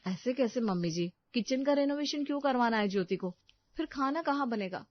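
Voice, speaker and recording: A woman's voice: a little high, expressive, brisk, dry recording, natural voice. An aggrieved young daughter-in-law in a storybook drama , played by a woman, protesting in a raised, indignant voice. The recording is dry and slightly dull. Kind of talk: Hindi audio story acting.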